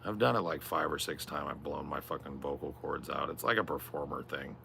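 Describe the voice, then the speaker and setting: A man with a strained, raspy voice chatting casually on a stream, rambling and swearing a lot. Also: rumbling low, fairly quick, pushed and bright, a little hiss or hum. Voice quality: gravelly. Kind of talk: casual chat.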